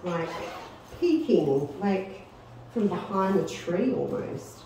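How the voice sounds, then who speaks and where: A woman in her thirties hosting a paranormal investigation, chatting casually and calling out questions to spirits in halting phrases. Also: lowish voice, animated, mellow. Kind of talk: casual talk while ghost hunting, questioning spirits aloud. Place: derelict brick building and its grounds at night. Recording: echoey, noisy, distant camera microphone.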